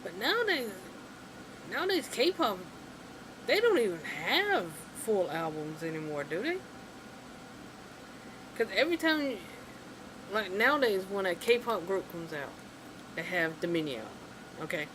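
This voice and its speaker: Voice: mid-range voice, sing-song, unhurried, pauses often. Exasperated rambling vlogger over AC hum. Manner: rambling, exasperated, heavy on "you know" and "like". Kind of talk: casual opinion rant to camera.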